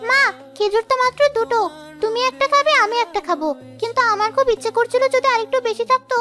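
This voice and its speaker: Obedient cartoon little girl, tiny high voice, bright, earnest, polite. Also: fast, faint music behind. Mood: wistful. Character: kind, obedient poor village girl. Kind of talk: cartoon dialogue.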